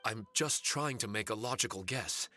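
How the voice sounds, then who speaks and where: A man playing a solemn, courteous male spirit servant in an anime game, speaking formally and earnestly in a measured way. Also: fairly low pitch, bright, forceful tone. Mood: reflective. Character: solemn, courteous male shikigami spirit warrior with fragmented memories. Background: faint music.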